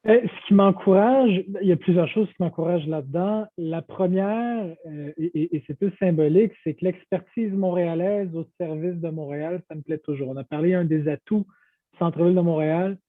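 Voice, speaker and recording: A man's voice: high voice, a little fast, dry recording. A man of Portuguese origin speaking as a panelist on a video call, enthusiastic and full of fillers and restarts. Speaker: panelist, downtown business association director. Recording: tinny phone-like video call audio.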